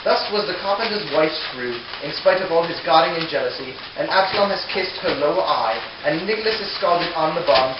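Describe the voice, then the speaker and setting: A man's voice: on the high side, expressive, brisk, few pauses. A man narrating an amateur puppet show as a scripted storyteller. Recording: very echoey, distant, dull, noisy.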